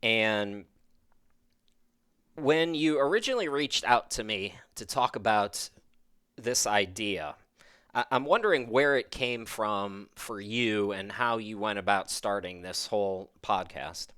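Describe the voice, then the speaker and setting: A man's voice: lowish voice, slow pace, a bit bright, clear, resonant tone, no room sound, clean studio sound. A middle-aged man hosting a podcast, talking in a chatty, rambling, upbeat way. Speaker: podcast host. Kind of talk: casual interview chat.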